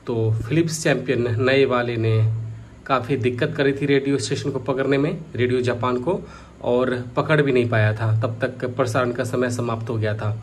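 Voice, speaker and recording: A man's voice: medium pitch, fairly even intonation, moderate pace, slight background noise. A man casually narrating a hands-on product test as a hobbyist vlogger.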